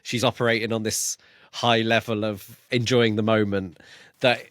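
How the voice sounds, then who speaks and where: A man's voice: fairly low pitch, flat delivery, moderate pace, fairly bright tone, no echo, clean studio sound. A man co-hosting a podcast, chatting casually about a book and thinking aloud with some hesitation. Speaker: podcast co-host. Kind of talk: casual book discussion chat.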